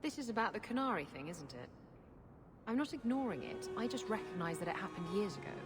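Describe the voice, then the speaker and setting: A woman's voice: mid-range voice, lively intonation, fast, close to an everyday voice. An actress playing an unrepentant, swaggering rogue woman in a video game, breezy and sarcastic. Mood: sarcastic, defiant. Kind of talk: dramatic video game acting. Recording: long hall reverb, music behind.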